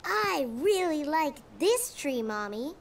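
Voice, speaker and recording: A sweet, earnest four-year-old cartoon boy, with a very high, animated voice. He speaks slowly and eagerly, with clear music playing behind him. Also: full character voice. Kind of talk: preschool cartoon dialogue.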